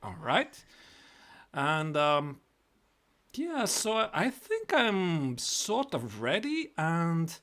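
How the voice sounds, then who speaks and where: A man's voice: on the high side, wildly swooping pitch, very slow, fairly bright tone. A man with a Latvian accent chatting casually on a live chess stream, repeating and restarting his words.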